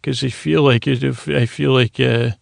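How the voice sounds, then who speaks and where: A man with a creaky, gravelly voice hosting a sleep podcast, giving a halting, meandering, soothing bedtime monologue full of digressions. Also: mid-range voice, steady tone, no echo, studio quality. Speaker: podcast host. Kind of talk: rambling bedtime monologue for falling asleep.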